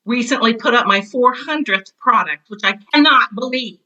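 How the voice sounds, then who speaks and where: Bright, chatty American woman. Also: a little high, moderate pace, highs cut off. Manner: chatty, enthusiastic, self-deprecating, tells stories with quoted asides. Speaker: podcast guest, teacher and author. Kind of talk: casual interview chat.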